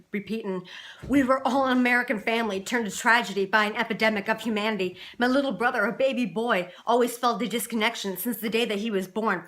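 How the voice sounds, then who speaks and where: A woman's voice: fairly high pitch, a bit bright. A young adult woman performing dramatic slam poetry with no music, in a rhythmic, emphatic, rhyming delivery. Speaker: slam poet.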